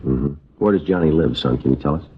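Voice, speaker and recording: An actor playing a calm, terse police detective who narrates his case in a clipped, deadpan radio drama style. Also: extremely low pitch, fast talker, slightly rough, heavily acted. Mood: calm, firm. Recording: dull old radio recording, music underneath.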